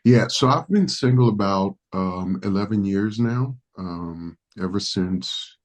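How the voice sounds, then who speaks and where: A man's voice: fairly low pitch, expressive, slow pace. A man from the Dominican Republic whose first language is Spanish, a pastor speaking as a guest in a podcast interview, earnest and reflective with some hesitations.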